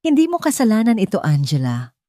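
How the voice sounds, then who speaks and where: A woman's voice: mid-range voice, wildly swooping pitch, fairly quick, mellow, no room sound, studio quality, pitched above the performer's own voice. A woman with a Filipino accent voicing a shrewd, resolute older rich matriarch in an audio story, speaking with firm authority. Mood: firm, indignant. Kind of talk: audio story character acting.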